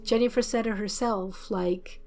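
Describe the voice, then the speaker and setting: A woman hosting a let's play, chatting excitedly and loosely about a game, her voice a little worn from talking. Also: medium pitch, a little slow. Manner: excited, rambling, many fillers. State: slightly losing her voice. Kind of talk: casual gameplay commentary. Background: faint game music.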